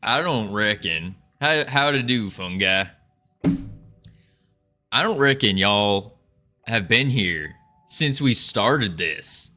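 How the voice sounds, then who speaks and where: A man's voice: lowish voice, lively intonation, takes it slowly, pauses often, pushed and bright, faint music behind. A young man chatting casually as a livestreamer, putting on an American Southern accent with playful, folksy cowboy talk. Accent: put-on American Southern. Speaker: live streamer chatting with viewers.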